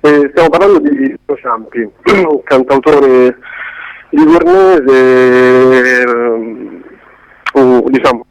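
A man's voice: mid-range voice, slow pace, lo-fi sound. A man giving an informal interview, explaining reflectively and often circling back to rephrase. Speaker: interviewed documentary co-director.

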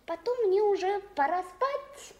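An actress playing a naive, excitable small child in a radio play, full of puzzled questions and exclamations. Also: female voice, extremely high pitch, measured pace, slight background noise. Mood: puzzled, questioning. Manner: questioning, exclaiming, repeating what is heard. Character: excitable, naive little child playmate, easily confused. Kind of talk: 1970s Soviet radio play acting.

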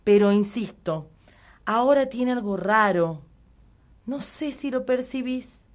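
A woman's voice: a little high, expressive, slow. Actress playing a puzzled, matter-of-fact woman in radio comedy. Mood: puzzled, nostalgic. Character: puzzled woman fussing over odd-tasting tap water. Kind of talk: deadpan absurd radio comedy sketch.

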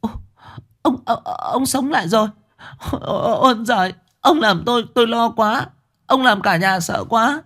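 Male narrator as frantic weepy wife, shrill and wailing, very high. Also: lively intonation, unhurried, a bit bright, heavily acted. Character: frantic tearful middle-aged wife, shrill.

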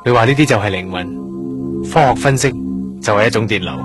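A man with a Hong Kong accent playing an earnest, curious young trainee doctor in a radio drama, explaining things in a measured way. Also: on the low side, over music, lightly acted. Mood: earnest, explanatory. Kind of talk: Cantonese radio drama acting, explanatory dialogue.

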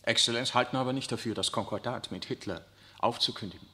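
An actor plays an eager young priest of twenty-seven in a 1960s radio drama. His mid-pitched voice sounds bright. He speaks earnestly and with deference. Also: quick pace, lightly acted. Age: 20s.

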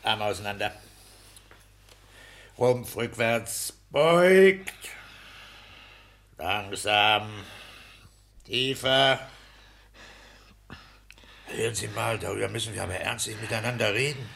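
An actor playing a boastful, bossy petty-bourgeois husband in a German radio play, with a blustering, commanding manner. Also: male voice, fairly low pitch, animated, slow pace, gravelly, pauses often, fairly bright tone, exaggerated voice. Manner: blustering, commanding, self-satisfied. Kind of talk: 1960s German radio drama acting. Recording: hissy 1960s radio play recording.

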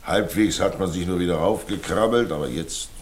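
An actor playing a world-weary, self-mocking older former stage player in a radio drama, with a theatrical, resigned manner. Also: male voice, deep voice, normal speed, slight background noise, natural voice. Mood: wry resignation. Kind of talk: naturalistic radio drama acting.

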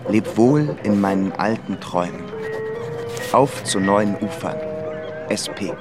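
Composer reading out his travel diary, radio play, lowish male voice, clear music behind. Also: animated, slow pace. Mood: reflective.

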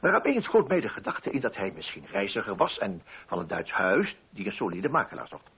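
A man's voice: on the low side, wildly swooping pitch, brisk, slightly rough, full character voice. A man playing a pompous, fussy, middle-aged coffee broker with a Netherlands Dutch accent, in a dramatized radio monologue. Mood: self-important, narrating. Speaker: narrating lead character. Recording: muffled, band-limited radio drama recording.

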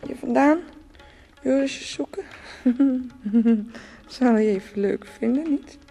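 A woman's voice: sits high, slow, husky, faint music behind, exaggerated voice. An actress playing a dreamy, teasing woman who rambles in musing repetitions in a Dutch radio play. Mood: playful, coaxing. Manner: musing, repetitive, breaks into soft laughs.

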